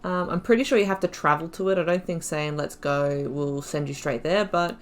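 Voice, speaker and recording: A woman's voice: low voice, a little fast. A woman doing casual playthrough commentary, reading dialogue choices aloud and musing to herself. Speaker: gaming YouTuber.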